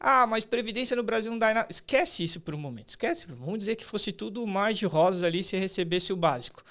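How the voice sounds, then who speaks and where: A man's voice: high-pitched, expressive, fast talker, fairly bright tone. A man in his late thirties with a Brazilian accent, explaining things conversationally as an interview guest, emphatic and colloquial, often tossing in rhetorical questions. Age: late 30s. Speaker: podcast guest, artificial intelligence expert and professor.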